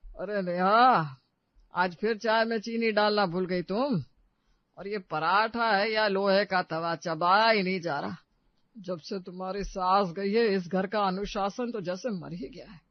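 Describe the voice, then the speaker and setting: A man performs an elderly, domineering husband in a domestic story. His voice sits high and he scolds with indignation. The recording is close, dry and slightly dull. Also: a little slow, no room sound. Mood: scolding. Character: stern, scolding old patriarch husband and father-in-law.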